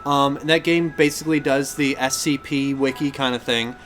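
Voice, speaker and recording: A man's voice: mid-range voice, fairly even intonation, moderate pace, fairly bright tone, faint music behind. A man hosting a podcast in casual gaming chat, talking in a rambling, self-correcting way. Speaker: podcast host.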